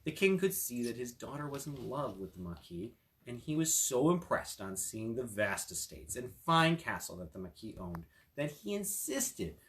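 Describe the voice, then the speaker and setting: A man's voice: medium pitch, sing-song, moderate pace, a bit bright, rough recording. A man reading a fairy tale and explaining its lesson in an informal, explanatory way. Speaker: attorney reading a fairy tale and giving estate tips. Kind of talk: storytelling and informal explanatory talk.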